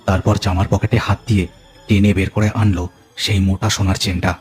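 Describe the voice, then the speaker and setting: A man's voice: sits low, level tone, fairly quick, darkish tone, soft background music. A man telling a cartoon ghost story as narrator, with dramatic scripted delivery.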